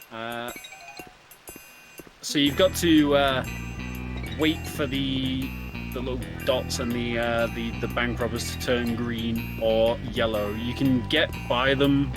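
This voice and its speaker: A man giving casual live co-commentary on a speedrun, explaining in halting phrases and repeating words. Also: medium pitch. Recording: game music behind the voice. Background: video game music.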